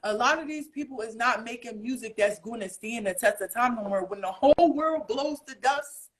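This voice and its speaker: A woman with a deep voice, a guest in a casual interview, talking in an animated, slangy, emphatic way and thinking aloud with fillers. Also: moderate pace, low-quality recording. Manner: animated, breaks into singing snatches.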